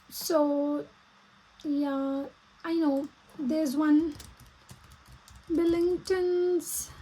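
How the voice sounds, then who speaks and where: Pakistani lady, urdu native speaker, high voice, casually planning out loud in a vlog, stop and start. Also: low-quality recording. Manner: thinking aloud, halting while typing. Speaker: vlogger and small business owner. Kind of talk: casual plan-with-me vlog talk.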